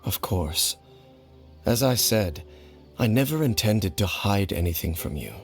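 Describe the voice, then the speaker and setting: An actor playing a brooding ancient male wanderer in a video game, solemn and vengeful, with a grave, formal, measured delivery. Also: lowish voice, lively intonation, faint music behind. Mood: somber, resentful. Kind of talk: scripted video game voice acting.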